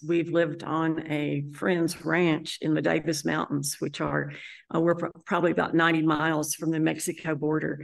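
A woman in her sixties from West Texas, with a West Texas accent, talking to camera in an earnest, relaxed way about her life as a landscape artist. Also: deep voice, vocal fry. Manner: relaxed, earnest, personal storytelling. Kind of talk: casual talk to camera. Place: indoor art gallery room.

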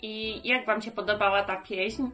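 A woman's voice: medium pitch, fairly even intonation, normal speed, over loud music. A woman in her thirties presenting conversationally to children as a puppet show host. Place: book-lined room indoors.

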